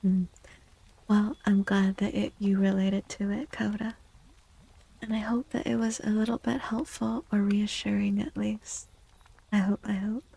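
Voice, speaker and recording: A soft-spoken woman streaming ASMR, talking gently in a husky, breathy voice that often drifts into whispers, with playful, quaintly formal wording. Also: medium pitch, level tone, unhurried, no room sound, poor audio. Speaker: live streamer and tarot reader.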